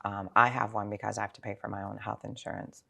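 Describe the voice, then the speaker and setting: A woman's voice: rumbling low, level tone, quick pace, vocal fry, sharp tone, slightly rough. A sixty-year-old woman speaking as a financial planner in an interview, explaining things informally with examples and rhetorical questions. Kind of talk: conversational interview answers.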